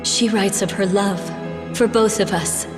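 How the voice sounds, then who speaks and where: Casting: actress as young fantasy game princess, earnest and sorrowful, unhurried delivery, roomy echo. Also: female voice, medium pitch, fairly even intonation, lightly acted. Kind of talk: scripted video game voice acting. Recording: roomy long reverb with music.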